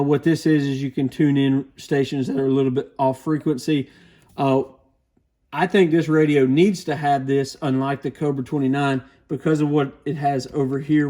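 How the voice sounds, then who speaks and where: A man's voice: a little high, mellow. A man presenting a casual product demonstration with beginner advice, explaining things in an informal, plainspoken way. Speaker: radio shop product reviewer, presenter.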